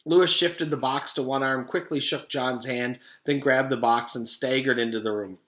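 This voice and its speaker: A man narrating a children's storybook as a teacher reading aloud, with expressive, deliberate storytelling. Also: mid-range voice. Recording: echoey room.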